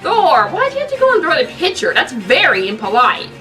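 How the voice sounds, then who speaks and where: A woman's voice: high voice, huge pitch swings, fairly quick, fairly bright tone, soft background music, pitched above the performer's own voice, full character voice. A woman voicing a prim, polite, old-fashioned male soldier who fusses about manners.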